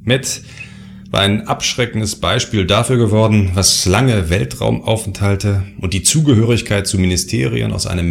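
An actor playing a scornful, ambitious cult leader, narrating theatrically in a science fiction audio drama. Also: male voice, lowish voice, gravelly.

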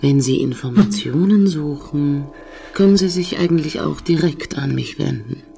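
Woman acting as a space station computer lady, formal n measured, kinda officious, sci-fi audio drama, bit of music behind. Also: low voice, gravelly, mellow. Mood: formal, cool. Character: cool, precise, protective female space-station computer system.

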